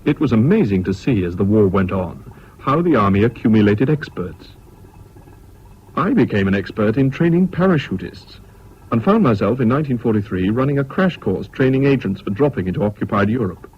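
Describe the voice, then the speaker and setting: An actor narrating as a former British army officer recalling the war, measured, composed and dryly wry. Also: male voice, lowish voice, expressive, lightly acted. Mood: reflective. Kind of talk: scripted first-person narration in radio drama. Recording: muffled old radio recording, faint noise.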